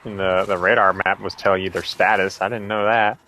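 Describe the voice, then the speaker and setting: A man's voice: sits low, little pitch movement, brisk, bright, forceful tone, a little hiss or hum, rough recording. A man from the United States chatting in a game voice call, talkative and given to repeated words as he restarts. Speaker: voice chat friend. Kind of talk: casual gaming chat. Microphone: voice chat.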